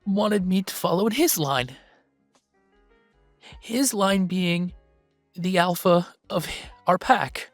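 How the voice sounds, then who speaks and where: A woman voicing a young male werewolf streamer, soft-hearted and excitable, talking to viewers in halting, heartfelt, informal chatter in an audio roleplay. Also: mid-range voice, no room sound, natural voice.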